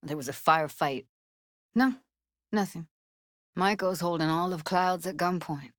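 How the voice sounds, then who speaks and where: A woman's voice: fairly low pitch, unhurried, no echo, studio quality, lightly acted. An actress playing a tough but tender young techie woman in a video game, speaking in a casual, clipped, slangy way. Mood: bitter, weary. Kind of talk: naturalistic video game dialogue.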